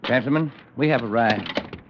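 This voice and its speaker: An actor playing a smooth, sinister recruiter for a secret deadly club, in an old-time radio drama. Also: male voice, medium pitch, normal speed, background noise, close to an everyday voice. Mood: ominous. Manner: suave, persuasive, ominous. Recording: dull old radio recording.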